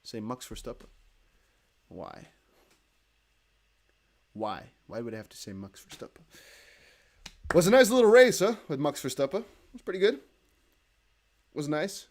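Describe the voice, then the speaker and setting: A young man with a Dutch accent, hosting a crypto livestream in casual chat, reading viewer comments aloud. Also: on the high side. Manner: chatty, animated, reads viewer comments aloud with quick laughs.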